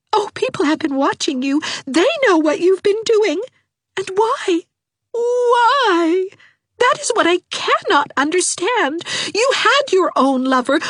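A high, quavering young woman in a storybook reading, weeping and overwrought, drawing out every word with theatrical self-pity. The voice sits far above the reader's own, slow and tear-choked. The recording is dry and close. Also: a bit bright, no echo, exaggerated voice. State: crying. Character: melodramatic young woman, weepy and self-pitying.

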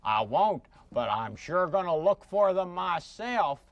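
Friendly, inquisitive dog, lively intonation. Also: male voice, high-pitched, a little slow, no room sound, dull audio. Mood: curious. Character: friendly, inquisitive big dog in children's make-believe. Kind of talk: children's television acting.

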